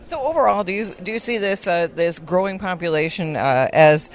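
A woman's voice: fairly low pitch, lively intonation, moderate pace. Woman, interviewer, conference, hesitant questions, repeats words.

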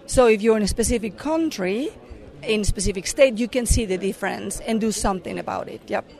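A woman's voice: medium pitch, brisk, creaky, a little mellow. A middle-aged woman from Mexico with a Mexican accent, a corporate affairs director giving earnest answers in an interview, with many fillers and restarts.

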